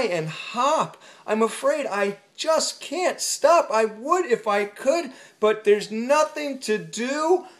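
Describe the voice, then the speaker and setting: A woman voicing a big fretful male elephant in a storybook, pleading in sing-song rhyme. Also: mid-range voice.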